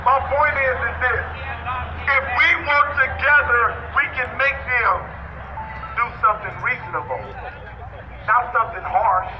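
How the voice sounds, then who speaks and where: A man's voice: very high-pitched, unhurried, pauses often. An American man giving an emphatic, repetitive, impromptu street rally speech as an activist, through a bullhorn. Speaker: activist speaking to a crowd. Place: outdoors on a city street with traffic. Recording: distant bullhorn-like voice, dull, echoey, noisy street.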